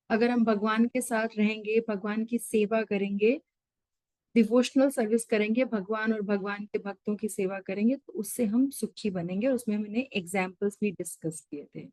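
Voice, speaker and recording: High-voiced woman teaching scripture. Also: steady tone, moderate pace, darkish tone, no echo, rough recording. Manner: explains with everyday examples, mixes English terms. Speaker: Gita study session leader. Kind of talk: online scripture class.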